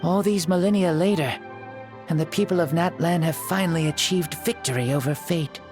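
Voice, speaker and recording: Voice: mid-range voice, normal speed, over music, natural voice. An actor playing a spirited ancient hero living in a borrowed young body, with a playful, theatrical way of talking in a video game. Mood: proud, reflective. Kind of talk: scripted video game dialogue.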